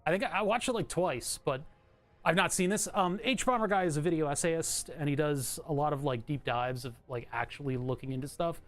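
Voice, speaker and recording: A man streaming from his home setup, chatting casually in a rambling, self-interrupting way with a bright, trebly voice. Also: on the high side.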